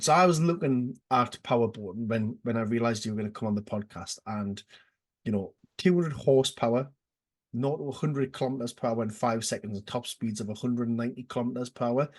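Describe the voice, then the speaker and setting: A man's voice: medium pitch, breathy edge. A man hosting a podcast interview, asking questions eagerly, reacting with lots of "wow" and stumbling over his words as he restarts. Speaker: podcast host.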